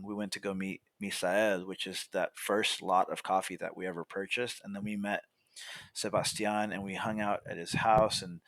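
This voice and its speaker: A young man, a podcast guest, telling a story in a relaxed way, often filling pauses with "you know" and "like". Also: on the low side, no echo. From California, USA. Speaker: podcast guest, coffee roaster. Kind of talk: casual storytelling.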